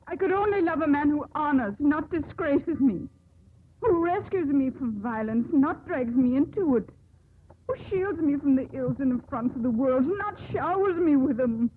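Proud, high-voiced indignant young woman. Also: animated, moderate pace, a little hiss or hum. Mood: haughty, exasperated. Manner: haughty, declamatory, emphatic delivery. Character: proud, indignant young ship captain's daughter. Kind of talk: 1950s radio drama acting. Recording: tinny, band-limited 1950s radio broadcast.

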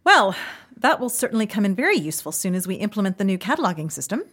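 An actress playing a polished, officious female government minister in a comedic fantasy audio drama, formal, patient and measured. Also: medium pitch, sing-song, a bit bright, slightly rough. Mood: politely patient.

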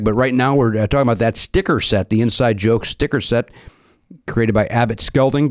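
A middle-aged man with a raspy voice hosting a comedy podcast, talking loudly with quick, self-mocking jokes into a studio mic. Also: mid-range voice, dry recording. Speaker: podcast host. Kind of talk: casual comedy podcast chat. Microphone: studio mic with headphones.

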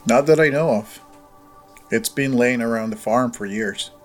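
A man's voice: mid-range voice, moderate pace, faint music behind, close to an everyday voice. An actor playing a plainspoken, obliging male farmer in a naturalistic audio drama.

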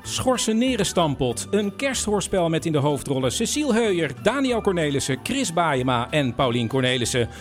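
A fairly high-voiced man with animated intonation narrates a radio play over music. He presents it as a first-person storyteller to his listeners. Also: normal speed.

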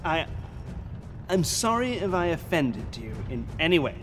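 High-pitched, flustered posh professor. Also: male voice, big pitch swings, over music. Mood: uneasy. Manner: indignant, flustered, halting. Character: posh, self-important male professor, anxious archaeological explorer. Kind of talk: audio drama acting.

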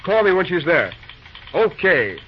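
Busy railroad night dispatcher: male, fairly high voice, brisk folksy slang, vintage radio play with noisy sound. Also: big pitch swings. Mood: brisk, irritated. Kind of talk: old-time radio drama acting. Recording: muffled, noisy old radio recording.

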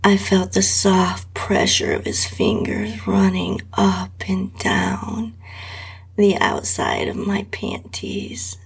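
Female narrator for adult audio fiction: sultry, extremely breathy and half-whispered, creaky at the edges, unhurried. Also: mid-range voice, little pitch movement, poor audio. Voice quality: breathy, often dropping to a whisper. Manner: sultry, lingering. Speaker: podcast host reading own story. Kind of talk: slow, intimate erotica narration in the first person.

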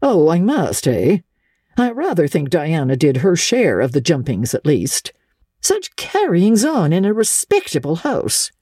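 A woman's voice: lowish voice, wildly swooping pitch, a little slow, mellow, slightly rough, dry recording, studio quality, voice pushed up, heavily acted. A woman voicing a stern, crusty old lady in a storybook reading, blunt and old-fashioned in manner. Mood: indignant.

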